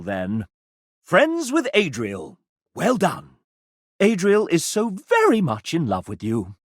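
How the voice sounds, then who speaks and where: A man's voice: high-pitched, no echo, studio quality, full character voice. An actor playing a theatrical, fussy and sardonic man, a video game show host with an arch, dramatic manner. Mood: playfully judging. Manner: arch, dramatic, drawn-out phrasing with big swoops.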